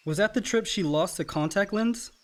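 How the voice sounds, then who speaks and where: An actor playing a wry, gently chiding young adult brother in a naturalistic radio play, with a dry manner. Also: male voice, high-pitched, normal speed, lightly acted. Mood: easygoing.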